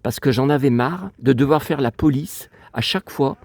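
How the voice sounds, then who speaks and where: A man's voice: medium pitch, moderate pace, vocal fry, darkish tone, dry recording. A man with a stuffy, sniffly nose from a cold, rambling in a digressive, aggrieved way as he vlogs outdoors. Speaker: vlogger. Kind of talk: casual rambling vlog chat. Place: outdoors in a cemetery.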